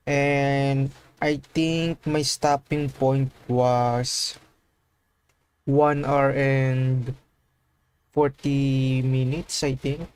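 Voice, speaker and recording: A man chatting casually on a game livestream in a halting way, often repeating himself. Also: mid-range voice, darkish tone, smooth. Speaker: livestreamer playing a video game.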